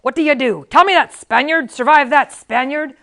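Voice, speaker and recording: A woman puts on a tough male fencing master from an adventure tale, pitched up. He is scornful and forceful, always challenging his pupil. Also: normal speed, creaky.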